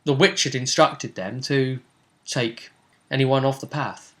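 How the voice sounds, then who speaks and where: A man's voice: medium pitch, steady tone, unhurried, a bit bright. A man talking casually as a game master, explaining events and prompting his partner with rhetorical questions. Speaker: game master recapping a tabletop role-playing session. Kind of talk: casual recap discussion.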